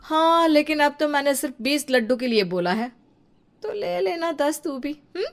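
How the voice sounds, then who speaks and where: A woman's voice: sits high, animated, moderate pace. A woman voicing a haughty, bragging elder sister-in-law in a cartoon story, airy and condescending. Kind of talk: cartoon story character acting.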